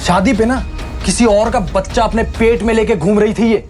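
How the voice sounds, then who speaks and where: A man's voice: high voice, fairly even intonation, normal speed, a little mellow, close to an everyday voice. A man playing an arrogant young husband in an audio drama, speaking with taunting contempt over music. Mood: bitter scorn. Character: arrogant, scornful young husband rejecting his bride. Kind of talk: Hindi audio drama acting.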